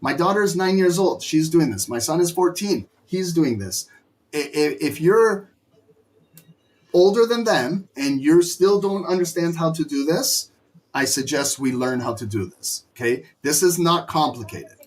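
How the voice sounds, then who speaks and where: A middle-aged man with a slightly high voice, worn hoarse from talking loudly. He teaches a live trading session with emphatic, scolding energy. He is animated and keeps pushing the lesson forward. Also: a little slow. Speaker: trading instructor.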